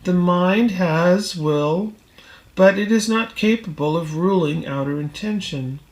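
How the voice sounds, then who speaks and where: A woman reading a self-help book aloud as scripted narration, in a deliberate, measured manner. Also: lowish voice, darkish tone, poor audio. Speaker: audiobook reader.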